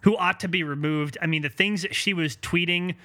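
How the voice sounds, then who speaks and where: A man with a high, very bright and forceful voice co-hosts a podcast. He gives casual political commentary, speaking emphatically and with firm opinions. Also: fairly quick. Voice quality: high-pitched voice. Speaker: podcast co-host.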